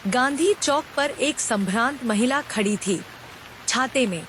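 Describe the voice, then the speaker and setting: A woman narrating a cartoon moral story in scripted, expressive storybook narration. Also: fairly high pitch, a little slow, a little hiss or hum.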